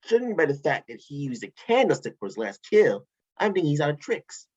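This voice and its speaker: A smug, wisecracking villain mastermind played by an actor at a table read, husky and boastful. The pitch swings wildly from line to line. The audio is dull and lo-fi. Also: very animated, brisk, darkish tone. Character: smug, flippant villain mastermind, pleased with himself. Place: remote online table read.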